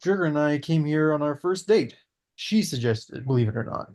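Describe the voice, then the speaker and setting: A man's voice: a little high, lively intonation, moderate pace, darkish tone. A man voicing an earnest, rambling man who pushes his friend not to give up, at a table read.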